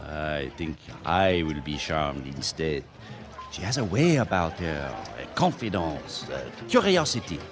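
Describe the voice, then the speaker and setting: Gallant French host, French accent, suave, theatrical, swooping intonation, music underneath. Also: male voice, very deep voice, very animated, unhurried, full character voice. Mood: suave, charmed. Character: charming, flirtatious Frenchman hosting at a ski lodge. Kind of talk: radio drama acting.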